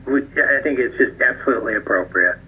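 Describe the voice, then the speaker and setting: A man speaks in a measured, diplomatic way with a mid-range pitch, as an official answering questions on a conference call. His delivery halts as he explains. The sound is a narrow, tinny phone line with some noise. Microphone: telephone conference line.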